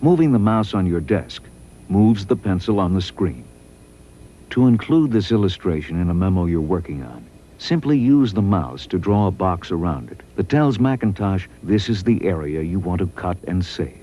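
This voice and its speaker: A man reading scripted promotional training narration in a measured, instructional way. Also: deep voice, animated, dark tone.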